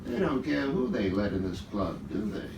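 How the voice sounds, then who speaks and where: Echoey, animated man playing a hard-boiled private eye. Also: on the low side, moderate pace, gravelly. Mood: pushy, sarcastic. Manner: slangy, wry tough-guy delivery. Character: wisecracking hard-boiled male private eye, flirty and pushy. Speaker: actor. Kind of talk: noir detective radio-play acting. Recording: echoey, noisy, distant rehearsal recording.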